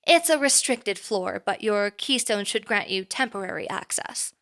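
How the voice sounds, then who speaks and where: A woman playing a poised, reserved boss in an audio drama, speaking formally and in a measured way. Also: medium pitch, expressive, a bit bright. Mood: cool, businesslike.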